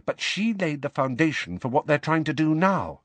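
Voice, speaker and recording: A man reading an audiobook, voicing an anxious, clever teenage girl who explains things rapidly and earnestly. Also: fairly high pitch, sing-song, no room sound, voice pushed up. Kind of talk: audiobook character voice.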